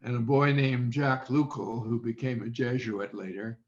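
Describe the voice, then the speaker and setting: An elderly man reminiscing as an interview guest, halting and circling back to rephrase, on a video call. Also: mid-range voice, steady tone. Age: over 70. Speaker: interview guest, former child radio quiz contestant. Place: online video meeting. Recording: dull, echoey video call audio.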